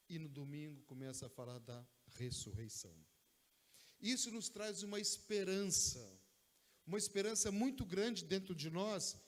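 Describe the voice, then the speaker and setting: A man from Brazil with a Brazilian Portuguese accent, preaching a sermon in a conversational way, often tossing in quick asides to check the congregation is with him. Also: high voice. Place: church sanctuary. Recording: long church hall reverb.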